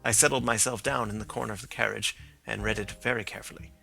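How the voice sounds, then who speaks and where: Man narrating an old detective story, gravelly, bright voice, a bit of music underneath. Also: lowish voice, steady tone, fast talker, low-quality recording. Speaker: audiobook reader. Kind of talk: scripted narration.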